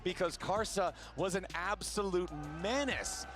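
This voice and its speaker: A man's voice: sits high, a little slow, over music. A man casting an esports match, with polished broadcast delivery. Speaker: esports caster. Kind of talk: play-by-play commentary.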